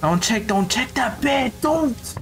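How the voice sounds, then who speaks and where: A man's voice: very high voice, expressive, slow pace, a bit bright, soft background music. A man giving a casual, slangy live reaction to a scary video, pleading with the screen in short repeated phrases. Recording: noisy with mains hum.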